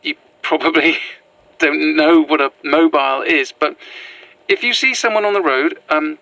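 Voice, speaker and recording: A woman's voice: deep voice, unhurried, a bit bright, no echo. A woman with a British accent playing an anxious, earnest former teacher on the run in a horror audio drama, hesitant and apologetic.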